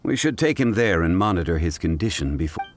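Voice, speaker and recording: Deep, dignified scholarly gentleman. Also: sing-song, noisy background. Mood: grave, thoughtful. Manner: formal, measured, deliberate. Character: dignified, composed, scholarly gentleman consultant, adult male. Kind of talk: video game voice acting. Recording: faint music behind the voice.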